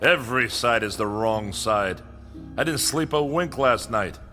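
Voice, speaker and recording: An actor playing a pompous, irritable scientist, haughty and complaining in a theatrical vintage comedy style. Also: male voice, mid-range voice, animated, a little slow, pushed and bright, faint music behind. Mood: grumpy, disgusted. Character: pompous, irritable male scientist, perpetually dissatisfied.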